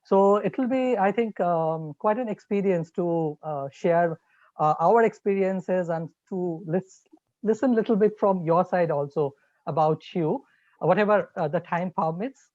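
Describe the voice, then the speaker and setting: A middle-aged man introducing himself in an online workshop, talking in a measured, hesitant way with fillers. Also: sits high, a little mellow, dry recording. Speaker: regional lead of a training organization. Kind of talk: self-introduction in a webinar. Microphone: video call. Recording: dull dry lo-fi call audio.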